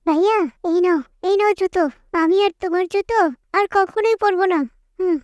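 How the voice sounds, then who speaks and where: A woman's voice: extremely high pitch, fairly even intonation, normal speed, a little mellow, dry recording. A woman voicing an earnest, sensitive little girl in a cartoon. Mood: upset, complaining. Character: earnest, sensitive little village girl, devoted daughter and sister. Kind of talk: cartoon character acting.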